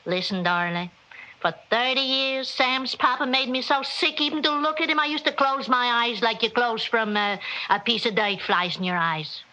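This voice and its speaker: A woman's voice: fairly high pitch, moderate pace, pushed and bright. A woman playing a meddling, talkative older mother-in-law with a New York accent in a 1960s radio drama, kvetching in rhetorical questions. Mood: coaxing, bossy. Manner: kvetching, rhetorical questions, inverted Yiddish-style syntax. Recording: muffled, hissy old radio recording.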